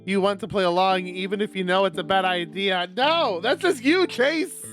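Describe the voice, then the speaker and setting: Animated male streamer over guitar music. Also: high voice, moderate pace. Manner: animated, sweary, exasperated asides. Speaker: streamer reading a visual novel aloud. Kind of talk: casual livestream chat and reading aloud. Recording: loud music under the voice. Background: plucked guitar game music.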